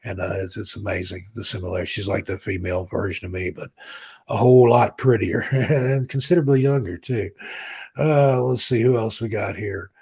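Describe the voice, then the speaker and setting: A man hosting a livestream in a relaxed, rambling chat with his viewers, reading their comments aloud, his voice hoarse as he loses it. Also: lowish voice, a little fast. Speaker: livestream host.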